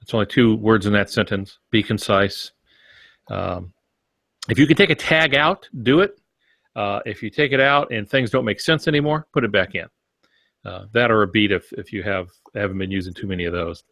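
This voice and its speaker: A man's voice: on the low side, moderate pace, vocal fry, fairly bright tone, no room sound. An older man co-hosting a podcast, running through practical tips in a conversational, instructive way. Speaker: podcast co-host. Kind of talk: conversational practical advice from notes.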